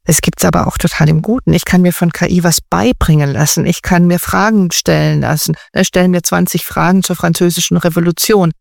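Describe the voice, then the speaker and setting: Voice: medium pitch, steady tone, fairly quick, gravelly, hardly any pauses, darkish tone, no room sound, clean studio sound. An interview guest answering in a chatty way, thinking aloud with many fillers and restarts. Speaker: guest expert on AI.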